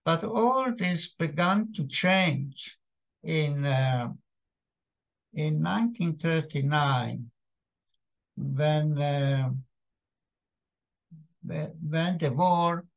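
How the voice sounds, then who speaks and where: A man in his eighties with a Slovak accent gives a personal testimony talk in a halting, hesitant way over a video call from home. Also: on the high side, gravelly. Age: 80s. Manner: halting, hesitant delivery with long pauses. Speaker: guest speaker, survivor giving testimony. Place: small home room indoors. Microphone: computer mic on a video call. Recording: muffled, lo-fi video-call audio.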